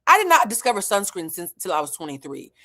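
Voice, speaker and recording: A woman's voice: mid-range voice, very animated, fast, bright, forceful tone. Blunt, emphatic American woman. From New England, United States. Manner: blunt, heavily profane, emphatic with rhetorical repetition. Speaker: online show host and emotional intelligence teacher. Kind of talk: opinionated reality show recap to camera.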